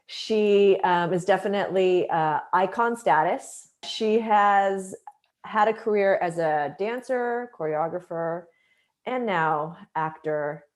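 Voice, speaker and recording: A woman hosting an interview, introducing her guest with admiring, unhurried words. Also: mid-range voice. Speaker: interview host. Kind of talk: conversational interview.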